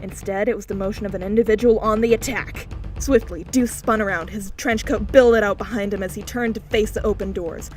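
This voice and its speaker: A woman's voice: mid-range voice, steady tone, a little fast, darkish tone, faint music behind. A woman reading an audiobook, narrating scripted fiction in a dramatic style.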